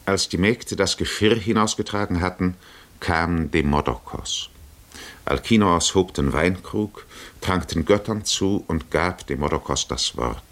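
A grave male storyteller narrates an epic in a 1950s radio play. His voice is low and he takes his time, very slow and measured, giving each image solemn, poetic weight. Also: a bit bright. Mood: solemn, spellbound. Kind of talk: 1950s German radio drama narration, literary.

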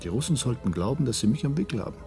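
An actor playing a resigned undercover double agent in a radio drama, terse and defensive. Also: male voice, mid-range voice, very animated, rapid-fire, creaky, warm tone, faint music behind.

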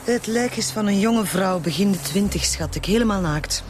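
A woman playing a matter-of-fact forensic doctor in a radio drama, measured, with a Flemish accent. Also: mid-range voice, lightly acted. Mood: clinical. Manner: clinical, measured. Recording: clearly noisy outdoor scene ambience.

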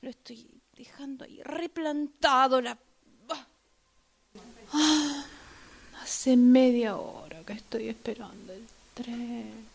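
A woman's voice: fairly high pitch, steady tone, takes it slowly, gravelly, heavily acted. A woman acting in amateur radio theatre as an exasperated, restless commuter waiting for a train. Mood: musing, sighing.

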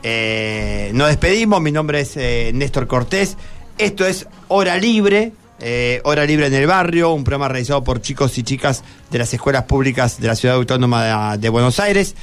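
A male radio host speaks at a middling pitch with a Rioplatense accent. He talks without a script, halting and repeating words, with faint music behind him. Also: expressive, clean tone. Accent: Rioplatense Argentine. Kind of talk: unscripted radio hosting.

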